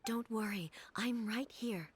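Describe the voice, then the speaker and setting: A woman voicing a composed, regal female ruler deity in a video game, speaking in a calm, measured, soothing way. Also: on the high side, husky. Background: game music.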